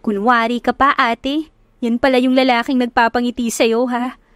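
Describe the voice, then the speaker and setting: A woman voicing a teasing, giddy younger sister in an audio romance, excitable and nagging, with a Filipino accent. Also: on the high side, moderate pace, dry recording, lightly acted.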